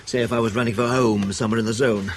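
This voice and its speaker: An actor playing a wry, insolent, self-assured intelligence officer in a radio drama, laconic and needling. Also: male voice, mid-range voice, steady tone, quick pace, noisy background, lightly acted. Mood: cheeky, wry.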